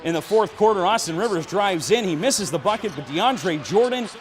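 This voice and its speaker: A man's voice: high-pitched, lively intonation, moderate pace. A young adult man working as a sports announcer and anchor, delivering commentary and news.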